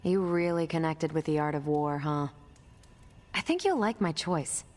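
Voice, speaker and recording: Low-voiced actress as friendly female superhero. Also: normal speed, a little mellow, lightly acted. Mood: earnest. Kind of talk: video game cutscene acting. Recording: reverberant hall.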